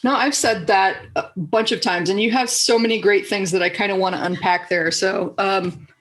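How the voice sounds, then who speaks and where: A woman's voice: medium pitch, normal speed. A woman from the Southern United States hosting a podcast and interviewing a guest in an enthusiastic, chatty way. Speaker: podcast host, coach. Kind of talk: casual interview talk.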